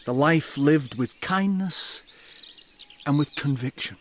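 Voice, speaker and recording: An actor playing a world-weary, kindly colonial customs man in a radio drama, reflective and measured. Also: a little high, a little hiss or hum.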